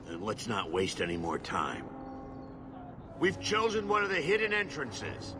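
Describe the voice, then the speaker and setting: An actor playing an impatient, crude and bombastic dwarf expedition leader in a video game, blustering and barking orders. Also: male voice, medium pitch, huge pitch swings, unhurried, noisy background. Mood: bombastic, eager. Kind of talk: video game cutscene acting. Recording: loud music behind dialogue. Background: loud music.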